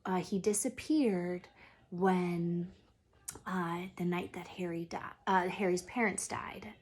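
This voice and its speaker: A woman's voice: on the low side, slow, breathy edge. A woman reading a children's story aloud expressively, as a teacher would.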